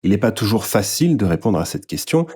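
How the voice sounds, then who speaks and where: A man with a low-pitched voice and a dark, soft-edged tone reads nonfiction aloud. His delivery is scripted, measured and formal, as in an audiobook. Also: big pitch swings, husky. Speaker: audiobook-style reader. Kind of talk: scripted narration, reading a nonfiction book aloud.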